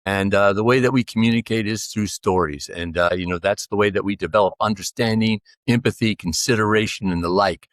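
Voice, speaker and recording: A man's voice: on the low side, a little fast, hardly any pauses, dry recording, studio quality. A man in his sixties, a podcast guest, talking casually and rambling with many fillers and restarts. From United States. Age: 60s. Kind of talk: casual interview conversation.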